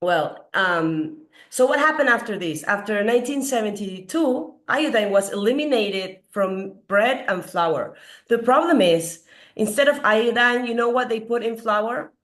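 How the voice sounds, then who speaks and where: Slightly hoarse woman lecturing. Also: on the high side, animated, measured pace, fairly bright tone. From Peru. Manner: earnest, questions the audience with frequent fillers. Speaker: doctor giving a health talk. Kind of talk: explanatory lecture.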